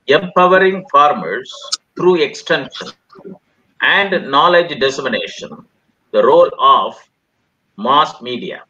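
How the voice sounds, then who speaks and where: A man with an Indian accent hosting a webinar, reading a formal welcome in halting, separate phrases. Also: medium pitch, pushed and bright, highs cut off. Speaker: webinar host introducing a guest speaker. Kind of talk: formal scripted welcome address.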